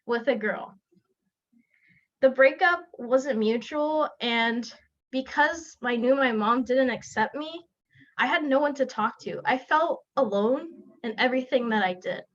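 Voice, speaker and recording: A young woman from South Florida gives a personal narrative speech over a video call, earnest, pausing and restarting now and then. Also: on the high side, a bit bright. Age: young adult. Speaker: student speaker. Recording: lo-fi online call audio.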